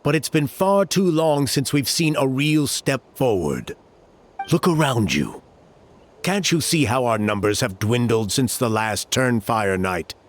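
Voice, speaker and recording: An actor voicing a worried, impatient village elder in a video game, earnest and argumentative. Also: male voice, mid-range voice, animated, measured pace, natural voice. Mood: frustrated. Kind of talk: video game character dialogue.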